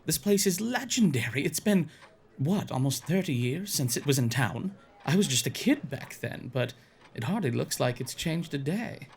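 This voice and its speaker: A man's voice: a little high, very animated, moderate pace, creaky, slightly rough, full character voice. A man playing an enthusiastic, curious, teasing bard in a fantasy audio drama. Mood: fascinated. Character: excitable, curious male bard, a tavern patron.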